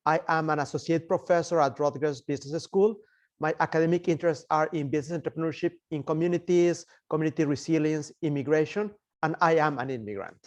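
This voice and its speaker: A man's voice: on the high side, fast. A man from Mexico, an associate professor introducing himself in a friendly, fluent way. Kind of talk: self-introduction on a webinar.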